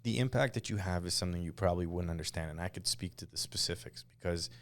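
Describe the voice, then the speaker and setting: A man's voice: low voice, fast. A man hosting a podcast, asking easygoing, curious questions in casual interview talk. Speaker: podcast host.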